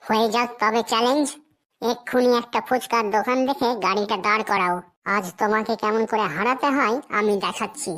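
Woman acting a high-pitched nagging cartoon wife, rural Bengali dialect. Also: steady tone, fairly quick, a bit bright, lightly acted. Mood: challenging. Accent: rural western Bengal Bengali dialect. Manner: scolding, theatrical. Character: nagging, demanding, gullible wife in a comedy cartoon. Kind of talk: comic cartoon dialogue.

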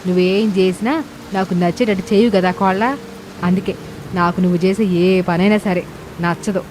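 A woman's voice: lowish voice, steady tone, normal speed, noisy background, natural voice. A woman playing a bossy, teasing older village mother-in-law in a cartoon moral story, scolding in rustic colloquial speech.

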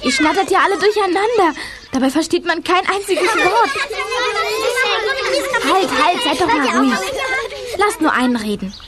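A kindly, bossy young girl, with a very high voice. She sounds earnest in a children's radio play, with clear background noise. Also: animated, moderate pace, long pauses. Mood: scolding. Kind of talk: children's audio drama acting.

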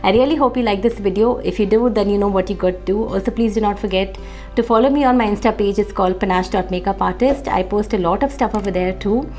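A woman, hoarse from a cold, chatting to camera in a casual fashion vlog with many fillers. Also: medium pitch, quick pace, a little mellow, faint music behind. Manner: chatty, friendly, with frequent uh fillers. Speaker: fashion vlogger. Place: indoor room, seated facing camera.

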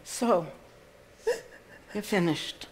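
A woman's voice: on the low side, drawn-out words, a little hiss or hum, poor audio. A woman playing a stern, bossy housekeeper in a stage play, with a folksy Southern US accent and an emphatic manner. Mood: ominous warning. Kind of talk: live stage play acting.

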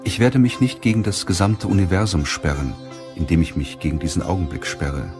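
Deep-voiced man narrating an audiobook. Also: a little fast, over music. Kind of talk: scripted audiobook narration of a self-help book.

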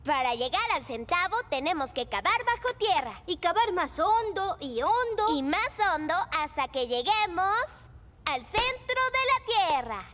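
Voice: extremely high pitch, sing-song, unhurried, pushed and bright, slight background noise, full character voice. An actor voicing a boastful child animal professor in a children's cartoon, pompous and enthusiastic. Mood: eager explaining. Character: child cartoon inventor professors, penguin and pink creature, boastful.

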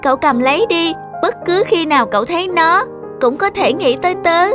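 A woman voicing a gentle, grateful little girl in an animated cartoon. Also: very high voice, fairly quick. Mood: tender. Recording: loud children's music behind.

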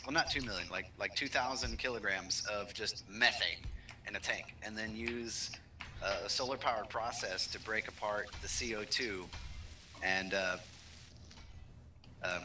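A man's voice: lowish voice, pushed and bright, slight background noise. A man chatting casually as a gaming partner, joking and teasing as he talks. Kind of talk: casual gameplay chat.

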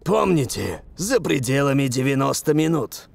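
A man voicing a steady member of a football team in a cartoon. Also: mid-range voice, moderate pace, vocal fry, noisy background. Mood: serious. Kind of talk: dubbed cartoon dialogue.

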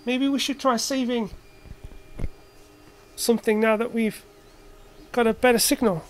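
A man's voice: extremely high pitch, fairly even intonation, measured pace, a little hiss or hum. A man giving a casual, hands-on demonstration as an electronics hobbyist in his workshop. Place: cluttered indoor electronics workshop.

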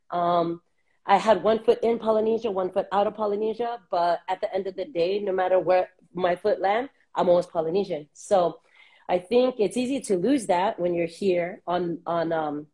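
A woman in her thirties from Southern California, a guest talking reflectively over a video call on earbuds, with many pauses and fillers. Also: lowish voice. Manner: warm, reflective, many ums. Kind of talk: casual interview on a video call. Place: small room indoors.

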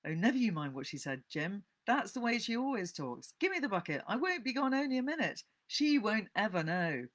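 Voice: mid-range voice, big pitch swings, fairly quick, hardly any pauses, a bit bright, pitched above the performer's own voice. A storybook reader voicing a cocky, mischievous schoolboy with a coaxing, dismissive manner.

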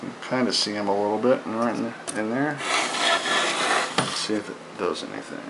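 A man casually narrating his hands-on work, halting as he thinks aloud, recorded on a handheld camera indoors. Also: fairly low pitch, creaky, very noisy. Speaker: hobbyist radio repairer presenting his own video. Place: indoors at a wooden table in a home. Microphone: handheld camera, held near the work.

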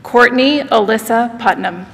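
A woman at a podium reading out graduates' names in a measured, formal way at a ceremony. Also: on the high side, a little hiss or hum. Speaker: ceremony name reader. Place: large hall, at a podium. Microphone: podium mic, far camera. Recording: long reverb of a large hall.

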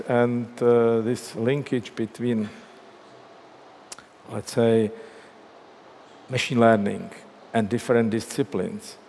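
Moderator, older man, formal and mid-pitched, long hall reverb, faint hiss. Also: darkish tone. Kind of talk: moderating a panel. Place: large glass-walled hall.

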